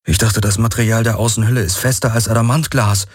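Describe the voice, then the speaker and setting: A man reading an audiobook voices an anxious young male explorer who speaks tensely and croaks, his pitch raised above his own husky voice. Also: steady tone, quick pace, dry recording, studio quality.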